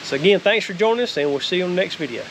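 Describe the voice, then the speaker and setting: A man's voice: high voice, animated, very fast, a bit bright, soft background music, poor audio. A middle-aged man with a Southern American accent giving a casual, folksy, plain-spoken product review. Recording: faint hiss and outdoor noise.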